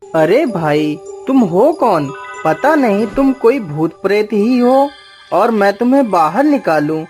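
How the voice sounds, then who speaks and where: A woman's voice: mid-range voice, sing-song, slow pace, a little mellow, soft background music. A woman voicing a wary, superstitious male shepherd with a rustic manner in a cartoon tale. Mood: suspicious, afraid.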